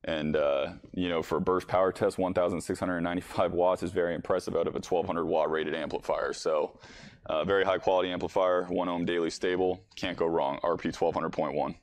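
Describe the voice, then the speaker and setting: A man's voice: low voice, steady tone, brisk, a little hiss or hum. An American man in his thirties giving a casual product demonstration as a company boss. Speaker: company CEO presenting a product. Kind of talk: casual product demo presentation. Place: indoor workshop, in front of a workbench.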